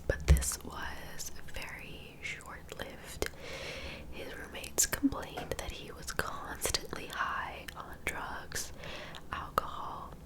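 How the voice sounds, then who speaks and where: A woman whispering softly and informally in a breathy ASMR storytelling style, taking her time. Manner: soft, unhurried, informal. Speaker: ASMR host narrating true crime.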